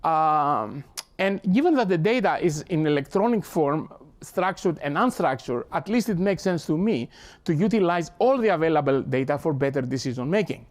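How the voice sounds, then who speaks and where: An older Greek-accented man lecturing to an audience with a somewhat raised pitch. He is earnest and halting, often restarting and repeating words as he works toward his point. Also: expressive. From Greece. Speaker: professor. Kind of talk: academic talk. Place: lecture hall.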